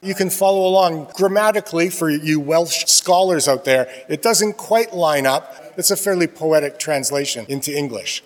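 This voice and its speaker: A man's voice: fairly high pitch, normal speed, hardly any pauses. A man giving casual, good-humored announcements to a congregation during a church service, now and then laughing at himself. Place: church sanctuary. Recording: long reverb of a church.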